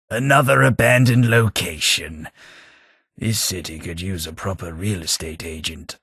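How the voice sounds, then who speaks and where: A man voicing a posh, sardonic British gentleman companion in a game, with a British accent. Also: lowish voice, slightly rough, dry recording, heavily acted. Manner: dry, wry, posh and sardonic. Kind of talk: game voice lines.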